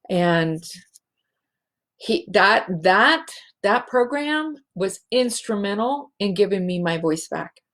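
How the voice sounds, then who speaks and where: A woman hosting a spiritual show, speaking in a halting, emotional way and close to tears. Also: lowish voice. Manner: slow, halting and emotional, many restarts. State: teary. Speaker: show host, healing facilitator. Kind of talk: heartfelt spiritual show monologue.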